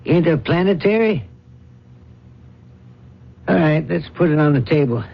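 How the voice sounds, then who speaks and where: A man playing a blunt, commanding older industrialist father in a radio drama, talking in brisk, clipped, forceful phrases. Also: fairly high pitch, expressive, gravelly, exaggerated voice. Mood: brisk, probing. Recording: dull vintage radio broadcast recording.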